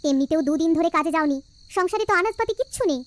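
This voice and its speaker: A woman voicing a sharp-tongued, exasperated village housewife in a cartoon. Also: very high-pitched, lively intonation, racing, dry recording. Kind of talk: cartoon voice acting.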